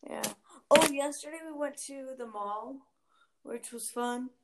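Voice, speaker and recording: A woman's voice: high voice, unhurried, very noisy. A young woman hosting a casual chat podcast, talking chattily with lots of fillers and giggles. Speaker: podcast host.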